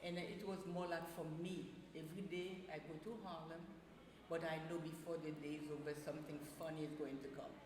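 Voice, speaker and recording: A woman in her sixties with a Haitian accent, telling stories in a casual interview in an animated way. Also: low-pitched. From Port-au-Prince, Haiti. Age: 60s. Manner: animated, quick to laugh. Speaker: interview guest, retired school counselor and teacher. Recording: echoey reverberant room with noise.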